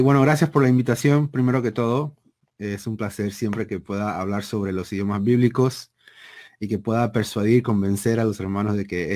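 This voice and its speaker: A man, explaining things conversationally as an interview guest, deliberate and emphatic, often checking with a tag question. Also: lowish voice, a little mellow. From Panama. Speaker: interview guest, seminary program director.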